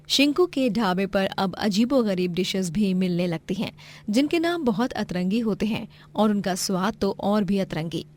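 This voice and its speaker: A woman's voice: medium pitch, darkish tone. A woman narrating a scripted moral tale as the storyteller.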